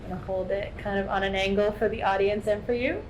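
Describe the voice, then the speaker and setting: Woman interviewer with mid-pitched voice. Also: steady tone, fast talker, a little hiss or hum, low-quality recording. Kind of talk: informal live interview.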